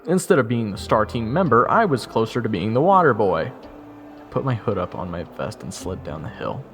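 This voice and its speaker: Guy acting as a young soldier narrating a fantasy story, lowish voice that moves around a lot, eager, nervy, self-mocking, music under it. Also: sing-song, normal speed, fairly bright tone. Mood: wry narrating. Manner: wry, self-deprecating storytelling. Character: eager, nervous young male soldier narrating his own adventure. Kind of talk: first-person fantasy audio drama narration. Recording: orchestral game music behind.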